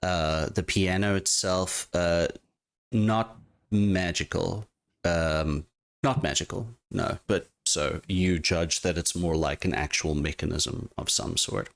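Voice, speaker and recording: Deep-voiced man narrating as game master. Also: steady tone, slow pace, gravelly.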